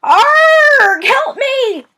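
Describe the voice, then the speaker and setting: Fierce elderly grandmother, firm and commanding, very lively pitch, voiced by a woman. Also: drawn-out words, full character voice. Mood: howling. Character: fierce, wily old grandmother.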